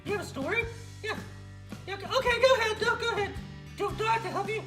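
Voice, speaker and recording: A woman voicing an excitable, bossy little girl puppet who rambles and repeats herself while hosting a playful kids' show. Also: very high-pitched, quick pace. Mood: chatty. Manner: rambling, repetitive, childlike. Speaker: children's puppet show host. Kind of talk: playful improvised storytelling for kids. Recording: roomy echo, faint music behind.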